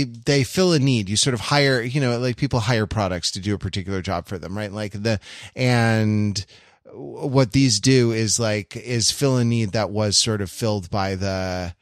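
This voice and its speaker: A middle-aged man co-hosting a podcast, chatting casually and reflectively, halting often as he searches for words. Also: mid-range voice, dry recording, clean studio sound. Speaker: podcast co-host.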